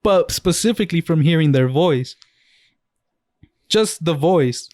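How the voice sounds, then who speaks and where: A man's voice: fairly high pitch, lively intonation, measured pace, a little mellow, no room sound. A man co-hosting a podcast, chatting casually in a playful, rambling way on a close mic. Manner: playful, rambling, talks over others. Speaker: podcast co-host. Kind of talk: casual chat.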